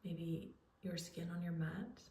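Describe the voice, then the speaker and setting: A woman leading a guided relaxation, speaking in a soothing, unhurried way with pauses as she gives gentle instructions. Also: lowish voice, fairly even intonation. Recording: roomy echo, distant microphone.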